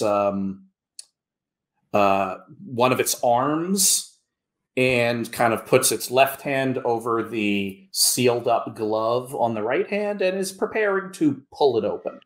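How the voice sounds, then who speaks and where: Male game master, laid-back narrator, mid-pitch, loose unhurried delivery. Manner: relaxed storyteller with drawn-out pauses and fillers. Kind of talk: narrating and voicing characters in a live-play tabletop game.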